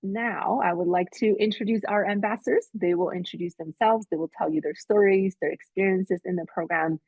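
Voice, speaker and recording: A woman's voice: mid-range voice, fairly quick, a little mellow, dry recording. A woman from admissions hosting a webinar, giving practical advice with many fillers over a video call mic. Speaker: admissions staff host. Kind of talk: webinar presentation. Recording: muffled, dull webinar audio.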